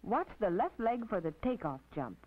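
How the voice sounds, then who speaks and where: An American woman narrating an instructional film as a teacher, in a precise, didactic, scripted manner with measured phrasing. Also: mid-range voice, sing-song. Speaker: narrator and physical education instructor. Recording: tinny, band-limited 1950s film soundtrack.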